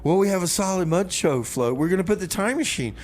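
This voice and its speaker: A man's voice: high-pitched, animated, brisk, a little mellow. A man hosting a music radio show in a casual style, with comic banter.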